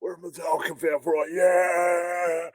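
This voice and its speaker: Slow-talking man giving excitable slangy reactions. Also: a little high, no room sound, clean studio sound. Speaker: show host reacting to clips. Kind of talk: casual reaction commentary.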